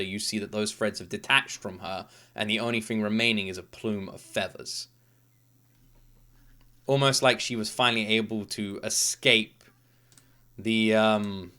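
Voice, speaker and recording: A man's voice: on the low side, pushed and bright. A man hosting a gaming reaction video, thinking aloud in an informal, enthusiastic way. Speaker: host of a gaming reaction channel. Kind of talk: casual off-the-cuff reaction commentary. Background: game trailer music.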